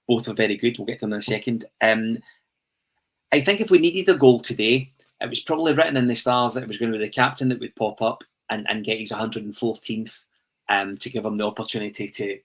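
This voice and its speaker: Scottish podcast host, lowish voice. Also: male voice, fairly bright tone. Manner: steers the talk, reads out listener comments, frequent "um". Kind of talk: casual football chat.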